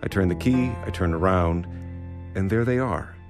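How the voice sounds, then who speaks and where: A male actor plays a mild laundry proprietor recounting events in a radio drama. His voice is low and measured, with faint music behind. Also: lightly acted. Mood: sober, uneasy. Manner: measured, conciliatory storytelling. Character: mild, reasonable laundry owner.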